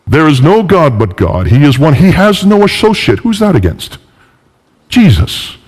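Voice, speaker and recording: A man's voice: fairly high pitch, big pitch swings, normal speed, a little mellow, breathy edge. A man with a cold giving an emphatic public lecture, teaching through pointed rhetorical questions. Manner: emphatic, didactic, questioning the audience. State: has a bad cold and fever. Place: large reverberant indoor room. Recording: echoey hall with long reverb.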